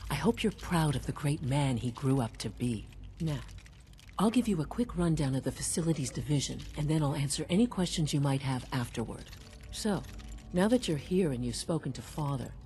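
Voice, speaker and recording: A practical chief engineer in a video game. Her low, dark-toned voice is businesslike and welcoming, over faint ambient noise. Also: expressive, moderate pace. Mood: welcoming, brisk.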